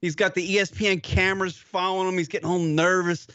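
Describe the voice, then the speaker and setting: A man's voice: high voice, little pitch movement, fast, sharp tone, dry recording. Guy cohosting a radio show, swears a lot, does mocking impressions, dry muffled sound. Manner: profane, mocking, acts out impressions. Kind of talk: crude casual banter.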